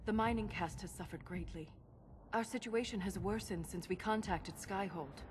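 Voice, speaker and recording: A woman's voice: medium pitch, moderate pace, background noise, close to an everyday voice. A woman playing a grave, dutiful dwarf scholar in a video game, speaking formally and precisely. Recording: music behind the voice.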